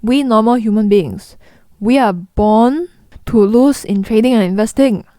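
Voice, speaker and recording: Brief: young Singaporean-accented woman, fairly high voice, blunt and chatty vlogger, dry close recording. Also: unhurried, mellow, no echo. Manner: blunt, chatty, mimics people in quotes. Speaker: investing vlogger. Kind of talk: direct-to-camera explainer.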